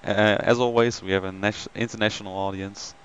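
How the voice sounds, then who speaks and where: A man's voice: fairly low pitch, flat delivery, fairly quick, a bit bright, smooth, lo-fi sound. A man hosting a flight simulator live stream, chatting casually with many ums and greeting viewers by name.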